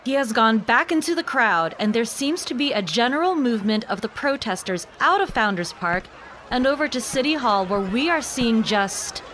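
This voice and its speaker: A woman's voice: a little high, noisy background. A woman playing a composed TV news reporter in an audio drama, reporting live in an articulate, urgent, professional way. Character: composed female TV reporter covering a tense protest.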